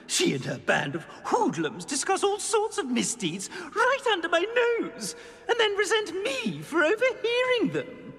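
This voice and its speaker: A man's voice: very high-pitched, huge pitch swings, a little slow, bright, forceful tone, in a large hall, heavily acted. A man acting an indignant, haughty talking portrait of a wigged wizard in a video game, blustering theatrically.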